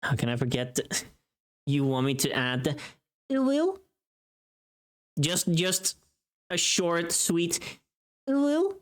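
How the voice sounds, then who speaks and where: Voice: medium pitch, big pitch swings, slow, pauses often. A livestream host chatting casually, rambling and thinking aloud to themselves.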